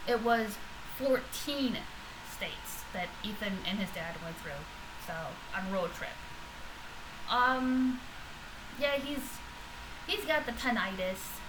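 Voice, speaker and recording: A woman talking casually in a reaction vlog, rambling with many fillers and restarts, in a loud voice. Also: a little high, animated, slow pace, long pauses, background noise. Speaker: vlogger reacting to podcast episodes.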